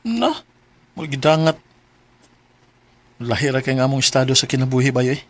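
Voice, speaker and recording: An actor playing an emotional, humble young son in a radio drama, with a Cebuano Filipino accent. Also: male voice, mid-range voice, lively intonation, normal speed, no room sound, natural voice. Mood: humble, shy. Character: grieving, bitter young son, lovestruck and humble. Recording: music bed behind radio drama.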